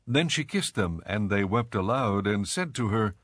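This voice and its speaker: Casting: male narrator for dramatized scripture, lowish pitch, measured and solemn, dry studio sound. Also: expressive, a bit bright, no echo.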